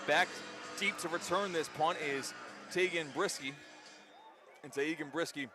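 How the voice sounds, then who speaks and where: A man doing live radio play-by-play of a football game, an excitable commentator who lifts his voice for big plays. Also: a little high. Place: outdoor high school football stadium. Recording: faint outdoor stadium ambience noise. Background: faint outdoor ambience.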